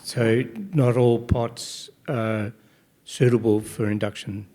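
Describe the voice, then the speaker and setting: A man's voice: medium pitch, level tone, slow pace, mellow, a little hiss or hum. A man with an Australian accent on a panel, adding a careful, precise caution.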